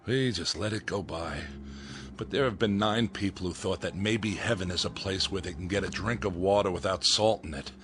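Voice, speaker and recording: A man playing a low-voiced, bitter young rebel. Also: moderate pace, background noise, lightly acted. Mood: bitter, defiant. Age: young adult. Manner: impassioned, declamatory. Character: bitter, defiant young man in desperate space colony. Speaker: actor. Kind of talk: dramatic radio acting.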